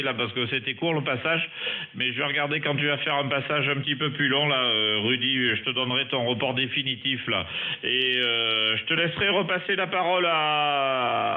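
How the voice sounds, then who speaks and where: Male ham radio operator, mid pitch, casual and hesitant, technical, heard over a muffled narrow radio band. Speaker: amateur radio operator running a contact. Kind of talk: casual ham radio chat with phonetic call signs.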